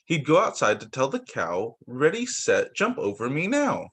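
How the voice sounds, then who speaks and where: A young man who teaches young children, reading picture books aloud in a sing-song, expressive way over a video call. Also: mid-range voice, moderate pace, husky. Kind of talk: read-aloud story time for young children.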